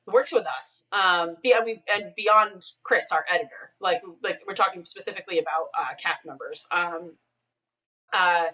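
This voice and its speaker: A woman's voice: on the low side, moderate pace, pushed and bright. A woman talking casually as an interview guest on a video call, explaining things in a rambling way with emphatic asides. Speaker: podcast co-creator and showrunner, interview guest. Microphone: video call, away from the mic. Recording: distant mic on a video call.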